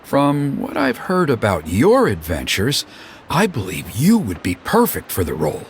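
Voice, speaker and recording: A man's voice: medium pitch, huge pitch swings, unhurried, slight background noise. A man playing an exasperated, gossipy male ceremony organizer who grumbles and sighs. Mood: earnest.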